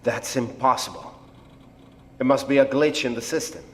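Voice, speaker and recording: A man playing a reflective, apologetic scientist in an audio drama, explaining things in a measured, hesitant way. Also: medium pitch, steady tone, close to an everyday voice. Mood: alarmed. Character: blind male scientist, reflective, apologetic, technical project doctor.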